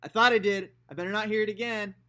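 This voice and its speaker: A man voicing a stern old grandmother who scolds loudly and threatens. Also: fairly even intonation, fast talker. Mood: scolding. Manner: shrill, loud and threatening.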